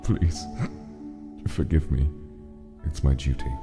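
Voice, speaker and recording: An extremely deep, regal monster king, solemn and heavy with grief. His dramatic comic-dub voice echoes in long reverb over prominent music. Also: slow, creaky, heavily acted. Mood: solemn regret. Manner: solemn, weighty.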